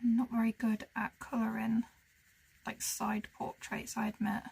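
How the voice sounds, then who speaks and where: A woman with a fairly high, gravelly voice talks through her colouring in a relaxed, casual way. She muses to herself as she works, often sinking into a near whisper, and her tone stays husky throughout. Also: unhurried. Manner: soft-spoken, musing, often drops to a whisper. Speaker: colouring channel host. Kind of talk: casual narration while colouring.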